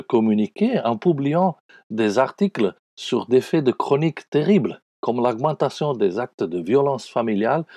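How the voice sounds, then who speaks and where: A man with an Italian accent, a pastor giving an earnest spiritual radio message and speaking warmly to listeners as friends. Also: medium pitch, dry recording, clean studio sound.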